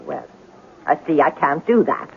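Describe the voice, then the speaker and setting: An actress playing a blunt, opinionated housekeeper in a radio drama, with a husky voice. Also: female voice, deep voice, lively intonation, measured pace, heavily acted. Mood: teasing, certain. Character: nosy, opinionated housekeeper woman, blunt and unbudging. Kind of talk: 1970s radio drama acting. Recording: tinny old radio broadcast.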